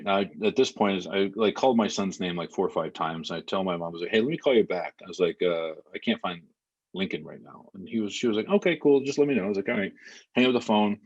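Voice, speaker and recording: A man as a podcast guest, talking casually and telling a story in a halting, rambling way, with many fillers and restarts. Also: low voice. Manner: plain, matter-of-fact storytelling. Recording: slightly muffled lo-fi podcast audio.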